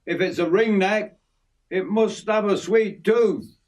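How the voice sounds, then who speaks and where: A man voicing an excitable, indignant young lad from Yorkshire in a told story, with a Yorkshire accent and a plaintive manner. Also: sits high, measured pace, far from the mic, voice pushed up. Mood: curious. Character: excitable, indignant Yorkshire boy, new to the bush. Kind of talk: acted character in a narrated memoir.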